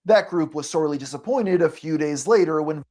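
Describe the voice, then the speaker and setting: A man reading scripted documentary narration in a measured, even way. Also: on the high side.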